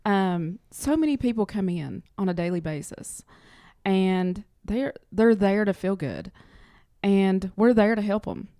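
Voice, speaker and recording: A woman's voice: medium pitch, a little slow, mellow, dry recording, studio quality. A woman speaking as an interview guest, chatting casually and telling small anecdotes. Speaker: interview guest, clinic front office worker.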